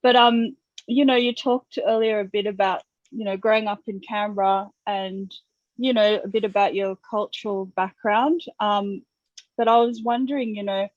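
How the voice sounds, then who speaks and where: A woman's voice: mid-range voice, steady tone, normal speed. A woman with an Australian accent, hosting a casual interview in a conversational, relatable way. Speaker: interviewer, host. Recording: roomy, dull lo-fi sound.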